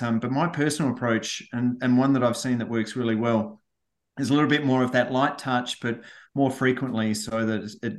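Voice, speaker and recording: An Australian man, a guest on a podcast interview, chatty and quick to repeat words as he gets going. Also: mid-range voice. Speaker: guest, head of sustainability.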